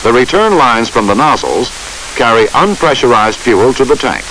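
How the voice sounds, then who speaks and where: A man reads scripted instructional narration for a training film in a measured, formal way. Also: mid-range voice, very animated, bright, forceful tone, poor audio. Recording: hissy old training film soundtrack.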